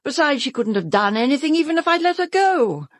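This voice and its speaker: An actress playing a vain, self-absorbed stage star with a British accent, haughty and melodramatic in a radio drama. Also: female voice, high-pitched, sing-song, brisk, no echo. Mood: defensive, indignant.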